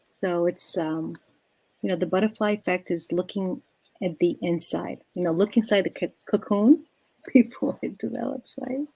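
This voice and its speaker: A woman speaking as a panel guest, giving a reflective inspirational talk and pausing between phrases. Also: lowish voice, mellow, husky, rough recording.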